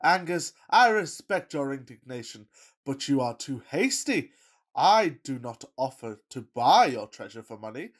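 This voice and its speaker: An actor playing a pompous, lovestruck rich young gentleman, melodramatic and self-regarding, in a farcical radio play. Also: mid-range voice, big pitch swings, measured pace. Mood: pompous, persuasive.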